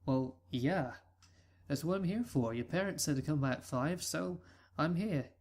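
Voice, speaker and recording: A woman voicing a cocky, laid-back teenage colt who talks loudly and casually, in a fan audio reading. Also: sits low, a little slow. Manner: loud, casual, says "dude".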